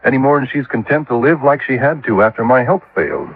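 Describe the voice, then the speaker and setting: An actor playing a gruff, sceptical middle-aged husband and father with a blunt, scolding way of talking in a radio drama. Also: mid-range voice, big pitch swings, fast, exaggerated voice. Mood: weary, frank. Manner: blunt, scolding, plain-spoken. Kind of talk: 1950s radio drama acting. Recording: tinny vintage radio, band-limited.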